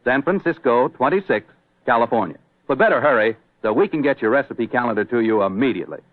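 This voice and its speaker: A man's voice: mid-range voice, expressive, fast, dry recording, phone-quality sound. A thin vintage radio recording of an American male announcer. He hosts and sells in polished, folksy 1940s style, with a widely swinging melody and a dry, close sound. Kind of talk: 1940s radio sponsor pitch and hosting.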